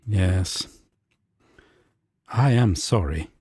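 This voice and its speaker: Casting: male actor as prim, gentle angel roommate, breathy mid-range voice, slow and earnest, intimate audio roleplay. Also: deep voice, dry recording, full character voice. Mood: soft, contrite. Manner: formal, gentle, hesitant.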